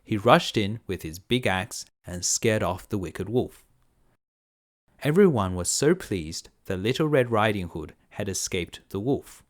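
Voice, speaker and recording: A man narrating a children's fairy tale as a bedtime storyteller, in a measured, simple delivery. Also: on the low side, expressive.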